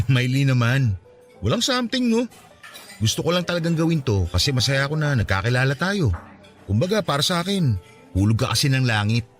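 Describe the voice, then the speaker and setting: A man's voice: mid-range voice, big pitch swings, a little fast, soft background music, natural voice. An actor with a Filipino accent playing an earnest, lovestruck young man pleading his case in a radio drama. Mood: warm, sincere.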